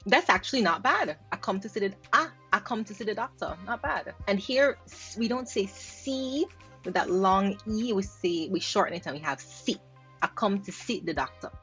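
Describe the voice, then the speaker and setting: A woman's voice: mid-range voice, animated, normal speed, pushed and bright, soft background music, low-quality recording. A Jamaican woman linguist explaining pronunciation. She repeats words aloud to show how they sound and laughs lightly. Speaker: linguist host. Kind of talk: explaining pronunciation while reacting to clips.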